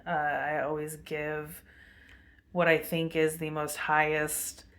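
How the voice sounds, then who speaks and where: A woman vlogging a rambling spiritual monologue to her camera, meandering with many fillers and pauses, with a commanding voice. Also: low voice, monotone, fairly bright tone. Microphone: webcam.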